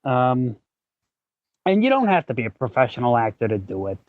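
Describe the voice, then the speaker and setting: A man hosting a podcast in casual, explanatory talk, often halting as he thinks aloud. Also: medium pitch, sing-song, no echo, phone-quality sound. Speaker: podcast host; working actor.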